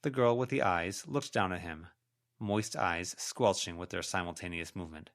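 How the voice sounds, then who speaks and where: A man's voice: deep voice, moderate pace, fairly bright tone. A man reading scripted fiction as an audiobook narrator.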